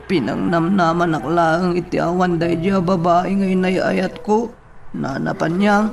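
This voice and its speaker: A man's voice: sits high, unhurried, vocal fry, recorded from a distance, slight background noise. An actor playing a heartbroken, bashful young man grieving a lost love in an Ilocano radio drama.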